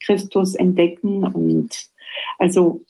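A woman from Belgium, a professor and radio guest, speaking in a halting way as she searches for words. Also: on the low side, level tone, gravelly, warm tone, rough recording. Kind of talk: radio discussion of scripture.

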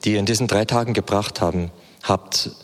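Male sermon interpreter, lowish voice, fluent with hesitation fillers, long hall reverb. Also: a little fast.

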